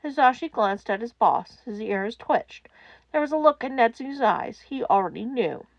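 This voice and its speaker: A woman's voice: a little high, expressive, moderate pace, vocal fry, dry recording, highs cut off. A woman reading fiction aloud as a dramatic scripted narration. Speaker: podfic narrator.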